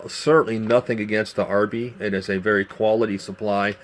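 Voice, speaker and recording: A man giving a casual, unscripted show-and-tell demonstration in a measured, explanatory way, pausing as he goes. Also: lowish voice, fairly even intonation. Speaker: vintage radio collector presenting a demonstration. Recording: clearly noisy amateur home video.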